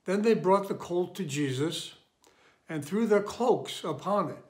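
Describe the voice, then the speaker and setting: A man in his sixties reading scripture in a measured, deliberate way, recorded in a room at home. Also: high-pitched, lively intonation. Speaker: lay scripture reader.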